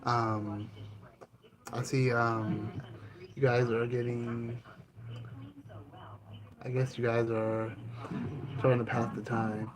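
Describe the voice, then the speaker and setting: A man hosting his own channel, answering questions about himself in a calm, easygoing way, with many ums and restarts. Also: mid-range voice, slow, pauses often, crowd noise, poor audio. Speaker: channel host and author. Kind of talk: casual self-interview answering questions. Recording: noticeable background ambience noise.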